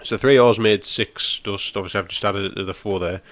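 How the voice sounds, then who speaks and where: A man's voice: fairly low pitch, fairly even intonation, brisk, a bit bright, no room sound. Nasal man with a cold. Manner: rambling, explains as he goes, frequent ums. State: has a cold, nasal and snotty. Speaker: gaming channel host. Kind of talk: casual gameplay walkthrough.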